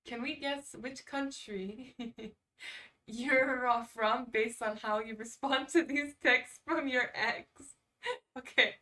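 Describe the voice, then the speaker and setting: Bright-voiced, slow-paced woman streamer, slightly distant. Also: fairly high pitch. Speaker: livestream host. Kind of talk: casual livestream chat, reading quizzes aloud.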